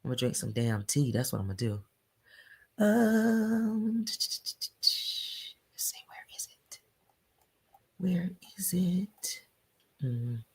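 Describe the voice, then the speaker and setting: A woman doing casual, blunt reality-TV gossip commentary for her viewers, her voice deepened by allergies. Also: sing-song, takes it slowly, long pauses, a little mellow, husky. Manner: blunt, slangy, talks straight to viewers.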